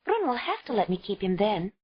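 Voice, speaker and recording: A woman's voice: medium pitch, big pitch swings, fairly quick, breathy edge, pitched above the performer's own voice. A woman voicing a fierce young cave woman, a new mother pleading tearfully and refusing to give up her baby. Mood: determined. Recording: dull lo-fi audio.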